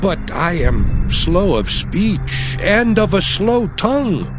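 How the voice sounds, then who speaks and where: Man playing a slow, pleading, high-voiced Moses. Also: sing-song, over music, exaggerated voice. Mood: anxious pleading. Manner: pleading, halting, earnest. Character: Moses, humble, hesitant, pleading male prophet. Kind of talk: dramatized scripture acting.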